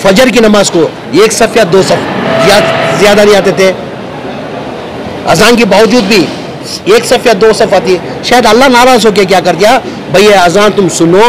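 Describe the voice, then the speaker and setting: A man's voice: high voice, normal speed. A man with a Bangalore Dakhni accent speaking informally to the press, explaining in colloquial, repetitive phrases. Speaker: politician. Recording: noisy outdoor urban surroundings.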